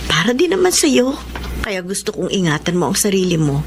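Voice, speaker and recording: An actress with a Filipino accent playing a concerned, cautioning middle-aged mother in an expressive radio drama style. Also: medium pitch, a little fast, slight background noise. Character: protective, cautioning mother of a grown daughter.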